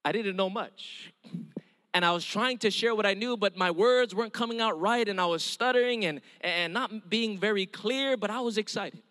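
Man, Hawaiian accent, middle-aged, evangelist sermon, earnest, higher voice, reverberant hall. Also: moderate pace, sharp tone. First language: Hawaiian Pidgin. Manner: earnest storytelling with calls of amen. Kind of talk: testimony sermon.

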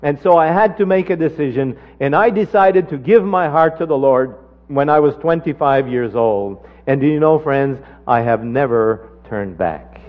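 A man preaching a sermon and telling a personal testimony, climbing sharply in pitch when he drives a point home. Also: moderate pace. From Canada. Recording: dull lo-fi sermon recording.

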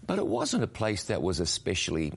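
A man's voice: low-pitched, wildly swooping pitch, brisk, gravelly, breathy edge. A middle-aged man, a guest telling his story in an earnest, reflective way. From New Zealand. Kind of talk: interview conversation.

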